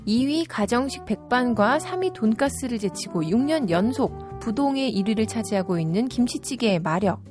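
A woman in her thirties hosting a radio magazine show, reading a scripted segment smoothly and then chatting with her guest. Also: fairly high pitch, lively intonation, normal speed, hardly any pauses. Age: 30s. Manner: smooth scripted delivery, chatty and laughing in talk. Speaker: radio host. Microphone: studio microphone. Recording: studio radio with background music. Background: music bed.

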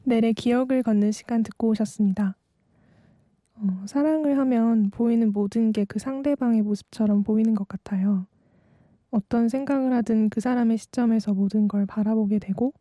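A twenty-year-old woman hosting a late-night radio segment, reading a calm, reflective script. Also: fairly high pitch, dry recording, clean studio sound.

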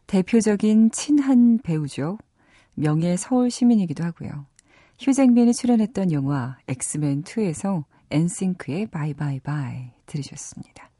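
A woman's voice: fairly low pitch, lively intonation, normal speed, warm tone, husky, no echo. A woman in her thirties from South Korea hosting a radio program, moving between scripted narration and chatty talk, close on a studio microphone. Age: 30s. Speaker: radio host of a movie program.

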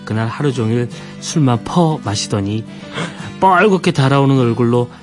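A man's voice: medium pitch, animated, moderate pace, music in the background. A man on a radio show, expressively telling a listener's story.

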